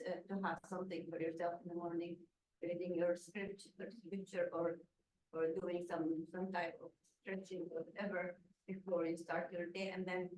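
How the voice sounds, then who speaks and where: A woman from Syria on a real estate panel, speaking hesitantly with many restarts and fillers. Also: fairly low pitch. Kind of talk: panel introduction. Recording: echoey room, distant mic, faint noise.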